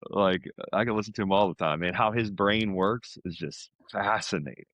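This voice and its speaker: Middle-aged American man, low voice, dry close sound, informal buddy-like podcast chat. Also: moderate pace, a bit bright, no room sound. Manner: informal, buddy-like, peppers talk with "man" and "dude".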